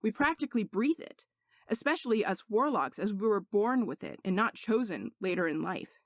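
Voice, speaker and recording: A woman's voice: mid-range voice, big pitch swings, moderate pace, dry recording. A woman voicing an arrogant but earnest male sorcerer knight in a story, speaking in a formal, lecturing way. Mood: earnest, explaining.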